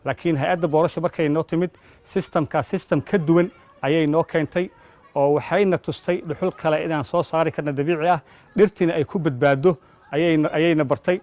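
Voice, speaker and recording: A man's voice: fairly high pitch, steady tone, fairly quick, a little mellow. A man with a Somali accent giving spontaneous interview testimony as a community member.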